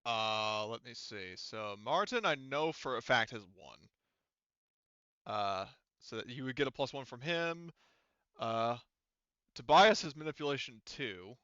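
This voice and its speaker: A man's voice: medium pitch, lively intonation, unhurried, sharp tone, clean tone, no room sound, muffled recording. A man casually running a tabletop game as game master, explaining things in a rambling way.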